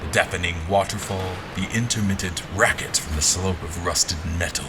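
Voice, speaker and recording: A man playing a grim, commanding general who narrates his own dark fantasy tale in a formal, brooding, deliberate way. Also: deep voice, noisy background, close to an everyday voice. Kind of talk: scripted audio drama, first-person narration and dialogue.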